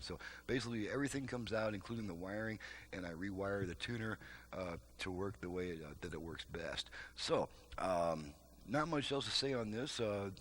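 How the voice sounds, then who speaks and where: A man with a fairly low voice talks through a repair demonstration in a casual, unscripted way. He explains step by step, haltingly, often correcting himself. The recording has clear background noise with a hum. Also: fairly bright tone.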